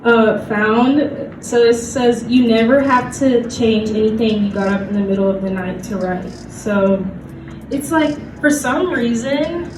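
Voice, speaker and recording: A woman's voice: fairly high pitch, unhurried, noisy background. A woman giving an informal panel presentation in a conversational, somewhat hesitant way, peppered with "like". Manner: hesitant, conversational, frequent "like" as filler. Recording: echoey room from far off, music behind.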